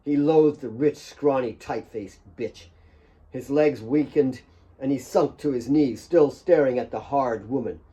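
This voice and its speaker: A man's voice: mid-range voice, measured pace, dark tone. A man reading dramatic scripted fiction as an author narrating his own audiobook.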